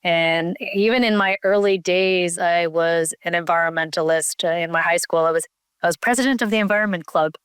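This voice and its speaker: A woman's voice: on the low side, a little fast, a bit bright, smooth, no echo, studio quality. A woman from Canada, a company CEO, answering interview questions over a remote call, thoughtful with many fillers and restarts.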